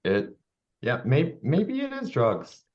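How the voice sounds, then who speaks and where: A man playing a tabletop role-playing game, improvising casually in character in a halting, uncertain way. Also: mid-range voice, wildly swooping pitch, dull audio.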